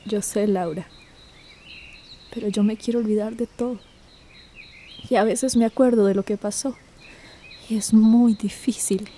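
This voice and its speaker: An actress playing a gentle, hopeful young woman who survived captivity, in a radio drama. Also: medium pitch, fairly even intonation, a little slow, pauses often, a little hiss or hum, natural voice. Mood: fearful.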